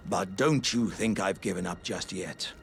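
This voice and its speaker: An actor playing an earnest, jovial living warrior jar in a video game, speaking with grand, old-fashioned courtesy and theatrical formality. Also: male voice, low voice, gravelly, a bit bright, a little hiss or hum, exaggerated voice. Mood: ashamed. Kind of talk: video game character dialogue.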